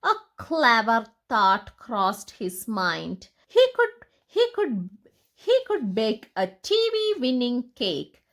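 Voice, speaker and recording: A woman reading picture books aloud to young children in an expressive, deliberate storytelling manner. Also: fairly high pitch.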